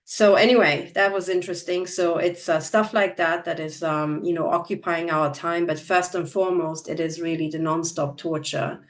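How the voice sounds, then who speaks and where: A woman's voice: lowish voice, fairly even intonation, normal speed, hardly any pauses, distant mic. A woman from Transylvania, a native Hungarian speaker, co-hosting an online chat, talking earnestly with many fillers and restarts. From Transylvania, Romania. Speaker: channel co-host. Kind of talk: conversational video chat.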